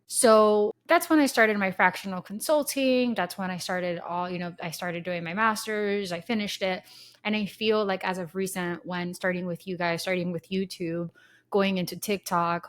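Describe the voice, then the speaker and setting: A woman in her thirties hosting her own podcast, talking casually and candidly with rambling asides, sounding congested from a lingering cold. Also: mid-range voice. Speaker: podcast host. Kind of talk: casual solo podcast talk.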